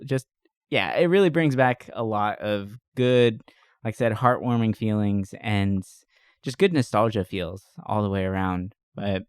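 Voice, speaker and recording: A man's voice: on the low side, a little mellow, clear, resonant tone, dry recording, studio quality. A man co-hosting a podcast, chatting informally and enthusiastically in a casual, slangy review style. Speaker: podcast co-host. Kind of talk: casual movie review chat.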